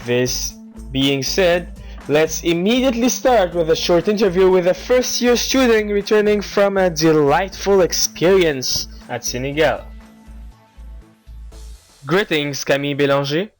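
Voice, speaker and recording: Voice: medium pitch, animated, a little slow, soft background music. A radio show host presenting from a script and asking interview questions.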